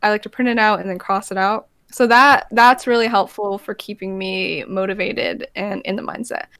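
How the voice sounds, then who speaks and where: A woman's voice: mid-range voice, steady tone, normal speed, fairly bright tone, clean tone, dry recording. Bubbly young woman, dry close sound. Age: young adult. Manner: bubbly, upbeat, laughs easily. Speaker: guest, ASMR creator and college student. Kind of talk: casual interview chat.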